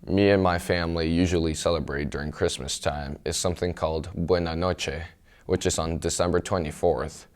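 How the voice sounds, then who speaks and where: A man's voice: rumbling low, clean tone. A man from Colombia speaking casually in an interview, with many likes.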